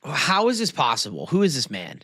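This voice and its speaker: Guy cohosting a podcast, super animated pitch, incredulous slangy banter, dry sound. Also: normal speed, breathy edge, no echo. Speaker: podcast co-host. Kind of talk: casual chat.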